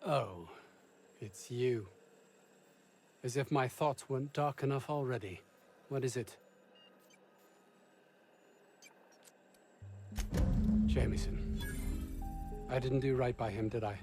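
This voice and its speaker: An actor playing a haughty, self-absorbed research scientist in a video game, with formal, theatrical diction. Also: male voice, medium pitch, a little slow, long pauses, darkish tone, faint music behind, natural voice. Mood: brooding. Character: pompous, self-absorbed male research scientist, ambitious and aggrieved. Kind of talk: video game character acting.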